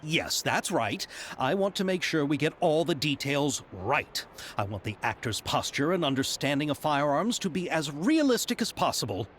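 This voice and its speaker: A man voicing an eager, excitable young film producer in a video game, speaking politely and gushing. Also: fairly high pitch, moderate pace, slight background noise. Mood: earnest. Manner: polite, gushing, gets giddy. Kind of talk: game voice acting.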